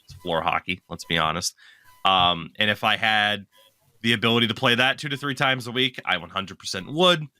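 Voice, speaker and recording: A man's voice: fairly low pitch, normal speed, bright, forceful tone, clean tone, no echo. A young man co-hosting a podcast, chatting casually, rambling and correcting himself as he goes, swearing now and then. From lives in Maine. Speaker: podcast co-host. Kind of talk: casual chat.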